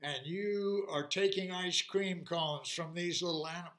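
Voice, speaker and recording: A big male lion played by a storyteller in a children's tale. He speaks slowly and deliberately, stern and commanding as he protects others. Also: medium pitch, steady tone, fairly bright tone, natural voice.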